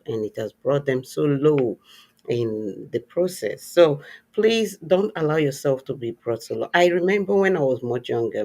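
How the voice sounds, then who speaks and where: A man's voice: medium pitch, vocal fry, a little mellow. A man hosting a faith-based talk show, speaking earnestly and encouragingly with a preacher-like cadence. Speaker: podcast host; worship leader. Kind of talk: warm closing remarks and personal story.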